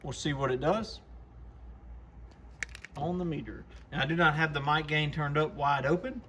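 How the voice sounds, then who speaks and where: Male shop-owner host, bright forward tone, slightly high pitch, informal explanatory delivery for casual product videos. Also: steady tone, normal speed, a little hiss or hum. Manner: informal, plain-spoken, explanatory.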